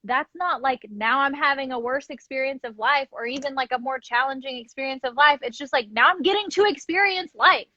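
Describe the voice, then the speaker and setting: Higher-voiced woman in reflective, casual talk. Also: a little fast, hardly any pauses, sharp tone. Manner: tentative phrasing with frequent "like" and "right?" check-ins. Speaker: podcast co-host discussing self-study. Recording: muffled lo-fi sound, roomy echo.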